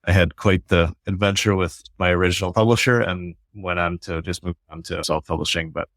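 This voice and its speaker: A man with a very low, deep voice talks through his ideas in earnest, motivational run-ons. The recording is dry and close, with no room sound. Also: steady tone, a little fast, fairly bright tone, no echo. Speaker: guest author. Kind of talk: podcast interview talk.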